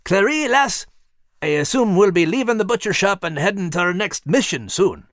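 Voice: medium pitch, lively intonation, normal speed, fairly bright tone, dry recording, studio quality, voice pushed up, natural voice. A narrator voicing a wry animal character with a folksy dialect. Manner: folksy dialect, says aye and lass.

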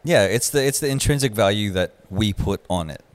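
A man's voice: lowish voice, lively intonation, fairly quick. A man co-hosting a casual podcast, reasoning things out aloud and stumbling over his words as he explains. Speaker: podcast co-host. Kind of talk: casual chat.

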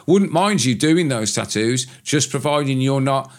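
A man's voice: medium pitch, expressive, unhurried. A man co-hosting a casual podcast, talking in a rambling, opinionated way and swearing now and then. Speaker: podcast co-host, tattooist. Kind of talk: casual chat.

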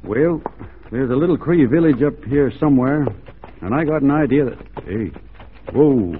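Casting: male actor as steadfast cowboy from Texas, folksy and plain, middle-pitched, tinny vintage radio recording. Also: animated, moderate pace, a little hiss or hum, close to an everyday voice. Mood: folksy, easygoing. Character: easygoing, plain-spoken, steadfast Texan cowboy. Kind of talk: 1940s radio drama acting. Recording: thin band-limited 1940s radio recording.

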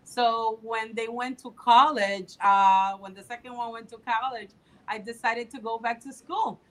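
A woman's voice: on the high side, fairly even intonation, unhurried, rough recording. A woman in her fifties from the Dominican Republic, a political candidate answering interview questions in an eager way with frequent fillers. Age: 50s.

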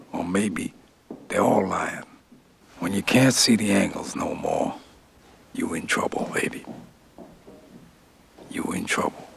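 A man's voice: low voice, creaky, a little hiss or hum, exaggerated voice. An actor playing a weary, streetwise aging male gangster, heard as a film clip. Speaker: film character in interview sketch. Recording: film clip with loud score.

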